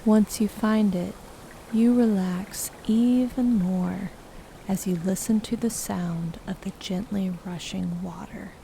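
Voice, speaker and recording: A woman narrating a guided meditation softly and slowly, breathy and often close to a whisper. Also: medium pitch, fairly even intonation, slight background noise. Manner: soft, slow, partly whispered.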